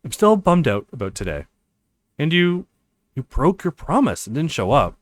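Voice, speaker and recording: A man's voice: a little high, big pitch swings, normal speed. A man voicing a discouraged, doubtful young female dance teacher in a visual novel, skeptical and downcast. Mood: disappointed.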